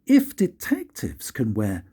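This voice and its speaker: A man's voice: on the low side, huge pitch swings, slow, mellow, breathy edge, pitched below the performer's own voice, full character voice. An older man reading a storybook voices a self-satisfied, scheming police sergeant who mutters to himself. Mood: smug scheming.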